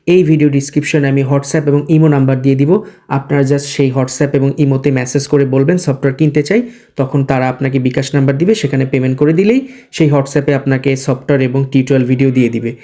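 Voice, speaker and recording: A man walks viewers through an app like a friendly tech host. His voice sits at an ordinary middle pitch, and he talks in a loose, chatty pitch. He mixes in English words and pauses on the odd "ah". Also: steady tone, dark tone. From Bangladesh. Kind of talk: casual product demo and sales pitch.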